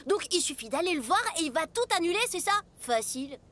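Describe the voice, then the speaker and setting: A woman's voice: sits high, expressive, fast, fairly bright tone, soft background music. A woman voicing a cheeky, carefree young boy in a French cartoon, brash, casual and exuberant. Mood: cheeky, cheerful.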